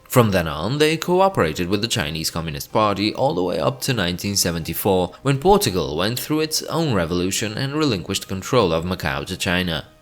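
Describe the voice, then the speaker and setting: Deep-voiced man narrating, lively delivery. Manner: chatty asides to the viewer between facts. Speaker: channel host. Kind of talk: scripted educational narration.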